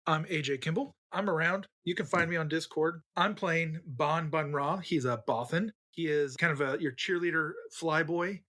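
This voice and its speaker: A man's voice: on the high side, a bit bright. A man chatting casually as a player on a tabletop role-playing show. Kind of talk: casual chat.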